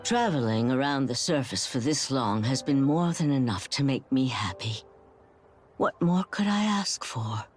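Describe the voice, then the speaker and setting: An actress playing a frail, dying young woman in a video game, resigned and stubborn, with wistful, halting delivery. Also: a bass voice, lively intonation, darkish tone, faint music behind. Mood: resigned, defiant. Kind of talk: dramatic video game cutscene acting.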